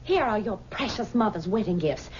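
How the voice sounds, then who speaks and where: A woman's voice: mid-range voice, lively intonation, brisk, a little mellow, a little hiss or hum, natural voice. A young actress playing a bitter, scornful wife who taunts her husband, in a 1970s radio drama. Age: young adult. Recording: dull 1970s radio broadcast.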